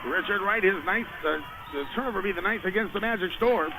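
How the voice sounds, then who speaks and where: A male play-by-play announcer with a strikingly high voice calls live basketball for radio. He follows the action in short, urgent running phrases. The broadcast is plainly noisy behind him. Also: brisk.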